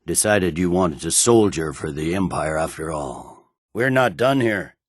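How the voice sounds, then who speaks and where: A man's voice: low voice, animated, a little slow, dry recording, clean studio sound. A man playing a stern, weary imperial general in a video game, speaking with clipped, brusque, formal authority. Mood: commanding. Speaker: military commander. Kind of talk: scripted video game dialogue.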